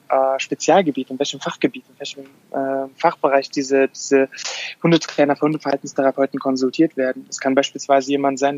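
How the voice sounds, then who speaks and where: A man's voice: fairly high pitch, darkish tone, no echo, muffled recording. A man in his thirties, a dog behavior therapist, answering interview questions with many restarts and fillers. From Afghanistan.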